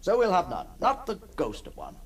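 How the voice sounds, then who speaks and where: An actor playing a genteel middle-aged gentleman haunted by his past, musing in a refined, theatrical way in a radio drama. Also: high voice, wildly swooping pitch, moderate pace, heavily acted. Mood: wistful, brooding. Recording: old radio recording with faint noise.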